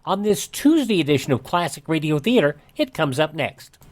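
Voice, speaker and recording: A man's voice: a little high, sing-song, normal speed. A man hosting a radio show, reading items and announcements in a presenter's style. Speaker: radio host.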